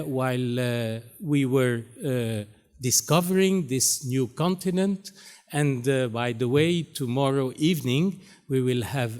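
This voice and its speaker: A man with Arabic as his first language gives a keynote on a university stage. He speaks slowly and haltingly, with frequent "uh" pauses between short phrases. His voice sits at a middle pitch. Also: darkish tone. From Syria, raised in Lebanon. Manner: halting, many pauses and fillers. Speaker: Jesuit priest, keynote speaker. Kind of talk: keynote speech.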